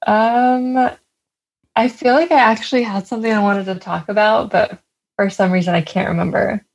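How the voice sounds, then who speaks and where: A woman with a husky, medium-pitched voice co-hosts a podcast. She chats casually in an easygoing, giggly way. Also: fairly even intonation, moderate pace. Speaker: podcast co-host. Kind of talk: casual chat.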